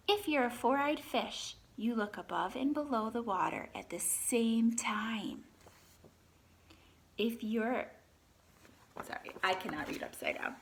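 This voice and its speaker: A woman with a fairly high voice reads a picture book aloud to children in an expressive, teacherly way. She breaks off for chatty asides and questions to the listeners. Faint noise sits behind her voice.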